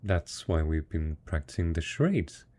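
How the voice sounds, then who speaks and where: Guy playing devoted husband after the apocalypse, mellow voice, swings up and down, sweet coaxing audio roleplay. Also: extremely low pitch, big pitch swings, normal speed, full character voice. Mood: coaxing, tender. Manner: tender, coaxing, patient, full of pet names. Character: devoted, doting post-apocalyptic husband tending his monstrous spouse. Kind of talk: intimate audio roleplay drama.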